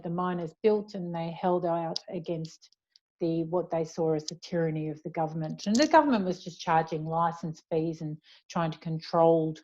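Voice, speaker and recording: Low-voiced Australian woman, dull audio. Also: a little mellow, slight background noise. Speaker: author being interviewed. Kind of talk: conversational author talk, answering questions.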